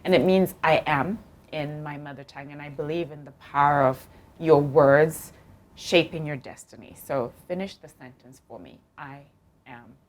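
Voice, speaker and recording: A woman's voice: deep voice, normal speed. Effusive woman from Zimbabwe hosting. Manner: effusive, encouraging, laughs often. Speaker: host. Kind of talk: warm interview chat.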